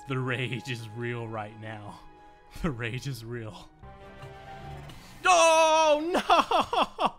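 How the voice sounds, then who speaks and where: High-pitched, excitable man doing game commentary. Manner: foul-mouthed, exclamatory, repeats phrases in bursts. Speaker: gaming video host. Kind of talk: excitable let's-play commentary.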